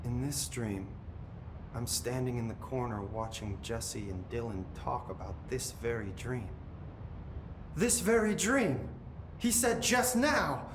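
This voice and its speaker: An actor playing a cryptic, brooding, unhinged young man held captive in a video game. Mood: agitated. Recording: echoey room with low rumbling noise.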